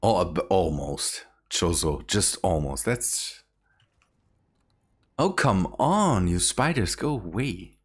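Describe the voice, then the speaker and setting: A man's voice: sits low, very animated, slow pace, slightly rough. A man hosting a casual game stream, laughing often and restarting his sentences. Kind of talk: casual gaming chat.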